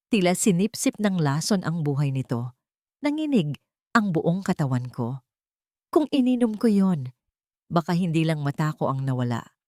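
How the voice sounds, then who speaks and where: A woman's voice: on the low side, warm tone, no room sound, clean studio sound. A woman reading dramatic scripted first-person narration as an audiobook narrator.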